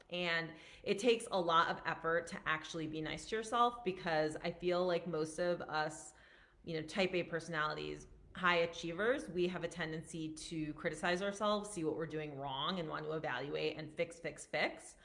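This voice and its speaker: A woman in her thirties, a physician and life coach, talking casually on a live stream in a loud, projecting voice with lots of chatty asides. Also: fairly low pitch. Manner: chatty, many fillers and restarts.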